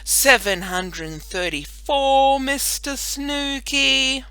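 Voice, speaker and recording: A woman's voice: high-pitched, sharp tone, clean tone, no room sound, voice pushed up, exaggerated voice. A woman voicing a bored, lonely schoolgirl in a children's story, in a dogged singsong. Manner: slow, singsong counting.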